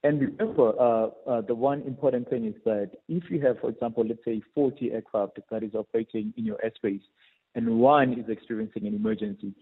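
Male interview guest, South African accent, husky voice, explanatory, peppered with "you know", slightly muffled lo-fi sound. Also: mid-range voice, a little fast. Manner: frequent "you know" as a verbal habit. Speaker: air traffic controller, interview guest.

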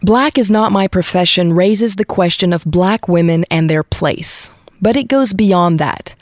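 A woman reading scripted documentary narration in a measured, even way. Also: on the low side, no echo, clean studio sound. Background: music bed.

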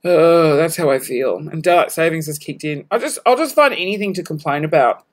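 A young Australian woman hosting her podcast at home, her voice worn hoarse, chatting in a rambling, self-mocking way with scattered asides. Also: low-pitched, lively intonation, fairly quick. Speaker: podcast host. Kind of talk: casual solo podcast chat. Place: home room, balcony door open.

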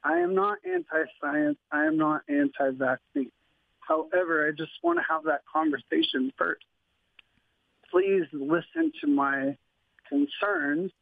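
A man's voice: fairly high pitch, huge pitch swings, unhurried, gravelly, no room sound. A man calling into a radio show, speaking earnestly and pleading to be heard. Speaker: caller. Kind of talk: phone interview. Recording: tinny phone line.

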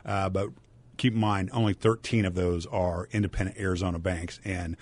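Deep flat-sounding middle-aged guy explaining stuff on the radio, lots of ums. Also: normal speed, slight background noise. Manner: businesslike, steady, with many fillers. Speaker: guest, bank president. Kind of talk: radio interview.

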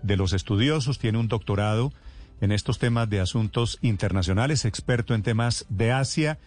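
A man's voice: on the low side, normal speed, darkish tone, soft background music. A man hosting a news interview, introducing his guest formally and asking questions. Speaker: news host.

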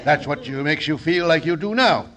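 A man's voice: fairly high pitch, lively intonation, normal speed, a bit bright. A man playing a scheming fake specialist who gives solemn nonsense diagnoses, in a 1940s radio comedy. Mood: mock-grave. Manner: mock-solemn medical patter. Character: fast-talking fake doctor, scheming and glib. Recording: old radio broadcast.

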